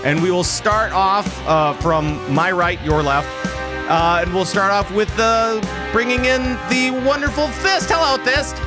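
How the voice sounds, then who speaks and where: A man's voice: high-pitched, a little slow, over music. A young man hosting a casual podcast chat, loose and rambling, full of fillers and restarts. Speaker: podcast host.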